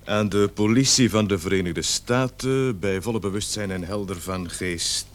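An actor as a gruff low-voiced police sergeant. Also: male voice, normal speed, lightly acted. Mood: reading aloud. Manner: bossy, curt, irritable, swearing. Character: gruff, impatient American police sergeant, Bill. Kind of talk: Dutch radio play acting.

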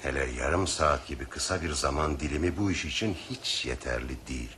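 Dry sceptical gentleman, really deep voice, formal, slightly noisy radio drama. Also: a little fast. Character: sceptical, dryly pragmatic gentleman on a train. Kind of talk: Turkish radio drama acting.

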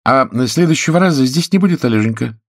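Casting: male narrator as a theatrical, spiteful woman of the stage, raised pitch, lively swings, audiobook dialogue. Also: fast talker, no room sound, clean studio sound. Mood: scornful, irritated. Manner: spiteful, ironic, melodramatic. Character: haughty, shrill actress woman, venomous and theatrical.

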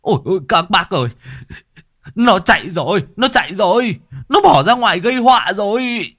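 A man voicing a hot-tempered older village father who shouts and scolds. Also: high-pitched, animated, moderate pace, pitched above the performer's own voice. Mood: alarmed.

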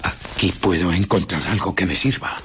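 An actor playing a ruthless, irritable fugitive scientist in a radio drama, husky and often dropping to a whisper as he recounts and justifies his deeds. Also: deep voice, normal speed, background noise, close to an everyday voice. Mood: brooding recollection. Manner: dramatic, brooding, self-justifying. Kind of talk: radio drama narration and dialogue.

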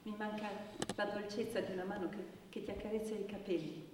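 A woman acts out a wistful young woman with a hesitant, plaintive theatrical delivery. The voice is mid-pitched and earnest. It carries from a distance through long hall reverb, with clear noise in the recording. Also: steady tone, a little fast, natural voice. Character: wistful young woman reporting her stolen dreams. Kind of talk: theatrical stage acting. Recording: very echoey hall from far off, noisy.

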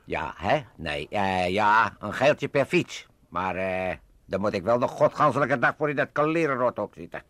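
An actor playing a gruff, plodding Amsterdam working man, hesitant and folksy in a comic radio drama. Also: fairly low pitch, expressive, normal speed, a bit bright, no room sound. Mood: doubtful. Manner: hesitant, folksy, repeating himself.

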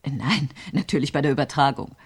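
Female studio host, radio drama: very low raspy voice, animated, brisk, in control. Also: heavily acted. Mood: brisk, probing.

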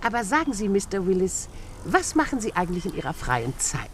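A woman's voice: mid-range voice, sing-song, a little fast, slight background noise, natural voice. An actress playing a rich, sly, domineering older woman in a German radio play, with an imperious, theatrical manner. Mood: coquettish, flirtatious. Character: domineering, sly, rich old widowed aunt.

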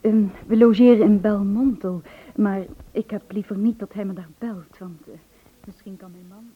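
A woman's voice: medium pitch, steady tone, moderate pace, breathy edge, lightly acted. An actress playing a nervous, secretive young married woman who confides in a hesitant, earnest way in a radio drama. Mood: guarded, nervous. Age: 20s. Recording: muffled old radio play recording.

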